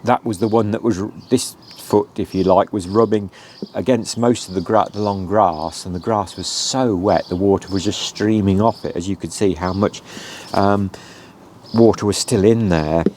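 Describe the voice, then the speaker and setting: Middle-aged British male vlogger, lower register, congested from hay fever, rambling and chatty, outdoors with faint background noise. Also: steady tone, moderate pace, darkish tone. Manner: rambling, with many "um"s and "as I say". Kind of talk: casual how-to talk.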